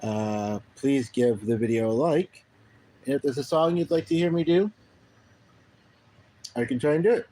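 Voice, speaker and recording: A middle-aged man from Canada chatting casually on his own livestream, rambling and halting with many pauses and restarts. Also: medium pitch, mellow. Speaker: livestream host.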